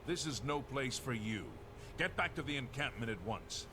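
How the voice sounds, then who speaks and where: A grim guard captain. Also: male voice, mid-range voice, lively intonation, moderate pace, sharp tone, slight background noise. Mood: stern. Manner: gruff, urgent and despairing. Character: grim male guard captain defending a burning city. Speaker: soldier commander. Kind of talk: video game voice acting. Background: game music.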